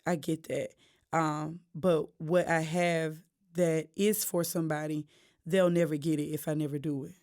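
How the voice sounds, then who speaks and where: A woman, a gospel singer talking casually as a podcast guest and acting out what people said to her. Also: moderate pace. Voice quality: deep voice. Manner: animated storyteller, acts out quotes.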